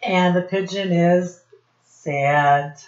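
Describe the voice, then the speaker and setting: American woman, lowish voice, unhurried pace, children's storytime teacher, question-asking, slightly dull home recording. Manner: instructive, asks questions, addresses listeners directly. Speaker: children's teacher and read-aloud host. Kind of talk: storytime lesson addressed to young children. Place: home office classroom.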